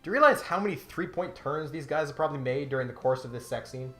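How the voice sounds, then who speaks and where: A man's voice: a little high, animated, fast, bright, forceful tone. A man delivering scripted comedic commentary in an emphatic, mock-serious way. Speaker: video essay host. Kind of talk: scripted comedic commentary with dramatic read-aloud passages. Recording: roomy echo, music behind.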